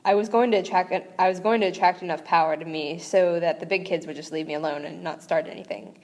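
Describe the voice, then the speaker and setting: A woman's voice: fairly low pitch, fast, close to an everyday voice. Earnest, curious young boy wizard read by a woman in an unpolished read-aloud, reverb trailing. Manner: plain reading voice, barely changed.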